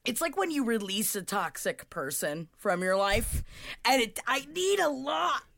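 A young woman co-hosts a casual comedy podcast in a high, bright voice. She talks loud and swears freely, and her pitch jumps all over as she tells stories. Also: measured pace, creaky. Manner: loud, swearing, lively storyteller. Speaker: podcast co-host.

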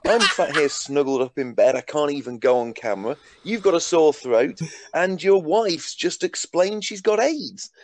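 A man guests on a casual live show. His voice sits fairly high and moves around a lot, with a dry, close sound. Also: animated, normal speed, dry recording. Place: in bed, off camera.

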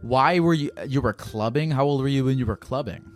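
A man's voice: medium pitch, fairly quick, soft background music. A man hosting a podcast and chatting with a caller, blunt and teasing, swearing freely and hesitating with many fillers. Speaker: podcast host. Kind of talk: casual chat with a caller.

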